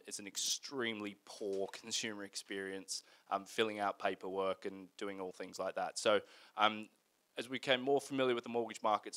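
Middle aged guy, lowish voice, startup founder chatting on stage w a handheld mic, measured and a bit hedgy. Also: a bit bright. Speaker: guest, company founder. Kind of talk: conversational on-stage interview. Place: indoor event stage in front of a screen. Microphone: handheld microphone.

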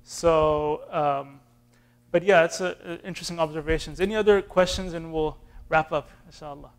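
A man in his thirties from Austin, Texas, an imam answering questions in a relaxed, classroom way with fillers and restarts, filmed by a far camera in a community center room. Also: fairly high pitch, moderate pace, clear, resonant tone. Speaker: imam teaching an intro class.

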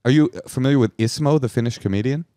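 A man's voice: medium pitch, warm tone, no echo, studio quality. A man co-hosting a podcast, chatting in a playful, jokey way. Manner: playful, jokey, quick asides. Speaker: podcast co-host. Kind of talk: casual comedic chat.

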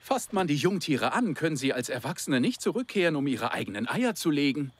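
An actor playing an enthusiastic, affectionate cartoon dad, earnest and explanatory, in a dubbed children's show. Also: male voice, on the high side, sing-song, fairly quick, slightly rough. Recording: music behind the voice.

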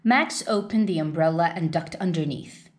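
A woman reading a children's story aloud in an expressive, deliberate way. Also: lowish voice. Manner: unhurried, deliberate read-aloud delivery. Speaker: storybook reader.

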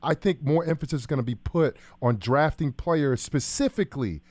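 A man's voice: a little high, normal speed, a little mellow, no echo. An American man in his thirties, a former player co-hosting casual sports talk on a close studio microphone. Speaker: co-host, former football player. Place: small indoor studio. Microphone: close broadcast boom microphone.

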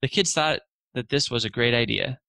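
A man's voice: medium pitch, normal speed, creaky, bright, forceful tone, dry recording. A man narrating a children's storybook aloud, expressively lifting his voice for the dialogue.

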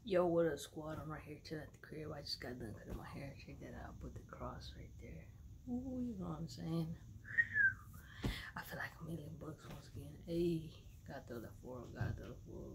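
A woman's voice: deep voice, fairly quick, very noisy. A woman in her thirties from Dallas, Texas, chatting casually at home to her followers in a laid-back, slangy, rambling way. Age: 30s. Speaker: vlogger. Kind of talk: casual vlog chat to followers.

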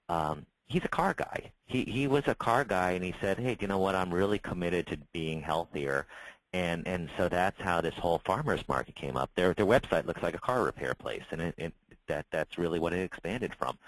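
A man's voice: deep voice, no room sound, low-quality recording. A middle-aged man, a trade association spokesman, answering webinar questions in a conversational way with many restarts. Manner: conversational, frequent restarts and repeated words.

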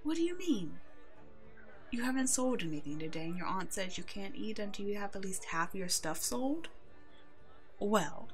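A woman playing a kind, protective werewolf in an ASMR roleplay drama, speaking gently and reassuringly as if to a child. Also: medium pitch, natural voice. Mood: concerned, kind. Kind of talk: ASMR roleplay audio drama, one-sided dialogue.

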